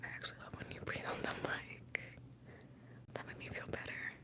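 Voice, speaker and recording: A young woman from Mexico, a native Spanish speaker, whispering softly and casually very close to a sensitive microphone as an ASMR streamer. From born in Mexico, moved to the US as a young child. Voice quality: breathy whisper. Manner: soft, unhurried, close to the microphone. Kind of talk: ASMR whispering, casual rambling and answering chat. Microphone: close, highly sensitive microphone.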